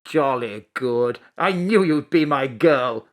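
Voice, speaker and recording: Woman as a plummy, animated, flirtatious diplomat. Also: a bass voice, takes it slowly, exaggerated voice. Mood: jolly. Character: jolly, posh, awkwardly flirtatious male diplomat. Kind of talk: comic audio drama acting.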